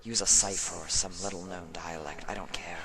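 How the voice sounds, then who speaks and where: A man voicing an imperious, haughty ancient unicorn in a story, speaking impatiently in a hushed, breathy way. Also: deep voice, fairly even intonation, brisk, a crowd in the background, lightly acted.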